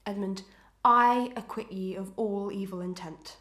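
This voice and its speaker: A woman playing an anguished, proud and dutiful wife in a Victorian melodrama, with formal archaic diction and fervent, deliberate delivery. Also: mid-range voice, steady tone, a bit bright, roomy sound, lightly acted. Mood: formal, strained. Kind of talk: old-fashioned melodramatic stage acting.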